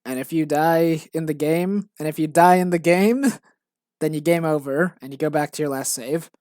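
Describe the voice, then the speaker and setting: A woman's voice: sits low, fairly even intonation, normal speed, darkish tone, dry recording, clean studio sound. A woman chatting casually on a stream about the game she is making, rambling and self-mocking. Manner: rambling, lots of fillers and restarts, self-mocking. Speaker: game developer streaming her work.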